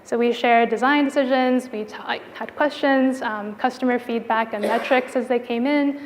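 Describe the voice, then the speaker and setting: Female conference speaker, higher-pitched voice, informal conversational delivery, recorded in a big echoing hall. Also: steady tone, normal speed, slight background noise. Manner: casual, informal phrasing with frequent "like" and "you know". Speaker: product designer giving a conference talk. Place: indoor conference venue. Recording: reverberant hall with long echo.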